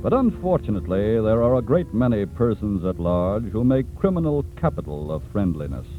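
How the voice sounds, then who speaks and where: Low, stern American radio narrator. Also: male voice, very animated, moderate pace. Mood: grave, cautionary. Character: grave, authoritative male crime-case narrator. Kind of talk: 1940s radio drama narration. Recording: tinny 1940s radio recording, music behind.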